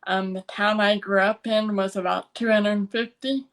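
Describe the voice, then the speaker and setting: An American woman with a mid-range voice talks at an unhurried, slow pace. She is an interview guest speaking in a personal, conversational way, with frequent halts as she gathers her thoughts. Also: medium pitch, flat delivery.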